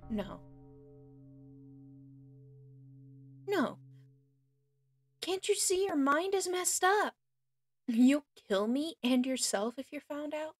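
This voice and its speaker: A woman playing a frightened but defiant kidnapped young captive in an audio roleplay, pleading in halting phrases. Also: high-pitched, no room sound.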